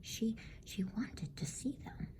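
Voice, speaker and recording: A woman's voice: mid-range voice, expressive, slow, voice pushed up. A woman voicing a frightened, polite young boy in a bedtime storybook, trembling as she whispers. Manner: trembling, stammering.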